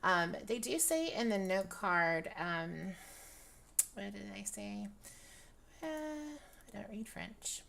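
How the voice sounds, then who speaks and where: A young woman with a dry, raspy voice, chatting casually through a product review with lots of ums and restarts. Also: mid-range voice, lively intonation, takes it slowly, long pauses, a bit bright, slight background noise. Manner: rambling, many ums, laughs often. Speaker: virtual-world fashion vlogger.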